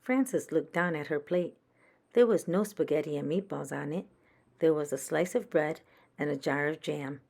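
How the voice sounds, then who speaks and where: A woman's voice: sits low, moderate pace, gravelly, darkish tone. A woman reading a children's story aloud as a storyteller for young listeners.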